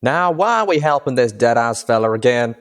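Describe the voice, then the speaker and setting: A man's voice: medium pitch, lively intonation, fairly quick, voice pushed up, natural voice. A man voicing a blunt male pegasus mercenary who talks in a folksy twang and curses casually. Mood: grumbling.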